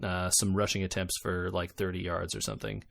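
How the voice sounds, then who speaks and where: A man's voice: sits low, flat delivery, brisk, vocal fry, fairly bright tone, no echo, studio quality. A man co-hosting a podcast in casual sports chat, talking in a loose, hesitant way. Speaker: podcast co-host.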